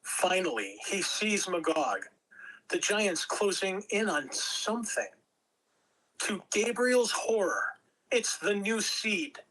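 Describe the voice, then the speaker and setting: A man's voice: high-pitched, sing-song, slow, bright, forceful tone, rough recording. A man reading stage directions as narrator, in scripted dramatic narration. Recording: amateur home recording.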